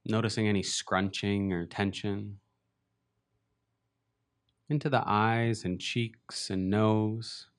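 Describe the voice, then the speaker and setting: Man, low pitch, smooth clear tone, slow gentle meditation guidance, long pauses. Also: level tone. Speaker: meditation teacher. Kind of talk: guided meditation.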